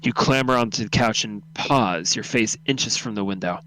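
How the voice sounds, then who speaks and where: A man's voice: fairly low pitch, moderate pace, pushed and bright, low-quality recording. A man narrating a second-person horror story as a live radio drama read-through.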